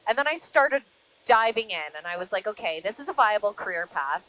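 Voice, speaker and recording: A woman who works in broadcasting, answering interview questions conversationally in an animated storytelling way. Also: mid-range voice, a little fast, slight background noise, poor audio. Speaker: interview guest, media broadcaster.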